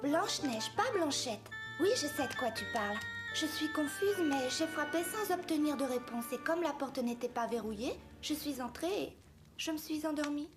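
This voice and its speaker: A woman playing a gentle, innocent young princess in a French-dubbed cartoon fairy tale, speaking politely and earnestly. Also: high-pitched, lively intonation, moderate pace, music in the background. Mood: apologetic. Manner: courteous, formal, earnest speech.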